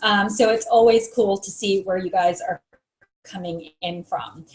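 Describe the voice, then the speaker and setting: A woman's voice: mid-range voice, unhurried, reverberant, poor audio. A woman co-hosting a live stream, friendly and organized as she runs through the outline, with some ums. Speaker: co-host.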